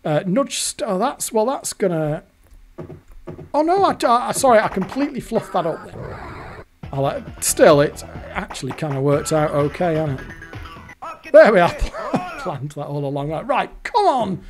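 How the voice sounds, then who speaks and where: A hoarse British man giving rambling, exasperated commentary while playing a fruit machine, swearing often. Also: sits high, very animated, unhurried, music in the background. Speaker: video host.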